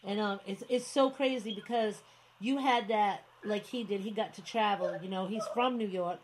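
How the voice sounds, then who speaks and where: A woman hosting a radio interview who chats in a loose, rambling flow full of fillers and asides. Her voice sits at a middling pitch. A faint hiss of noise runs under it. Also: moderate pace, rough recording. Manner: chatty, rambling, many fillers and laughs. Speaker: radio show host. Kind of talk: casual interview chat.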